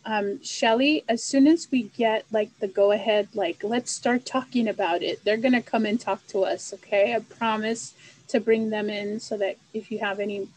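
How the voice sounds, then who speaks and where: A woman's voice: medium pitch, steady tone, moderate pace, darkish tone, rough recording. A middle-aged woman hosting a casual community meeting over a video call, chatty and friendly with lots of fillers. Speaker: meeting host for a transit riders club. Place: indoors with a virtual background. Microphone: video call webcam.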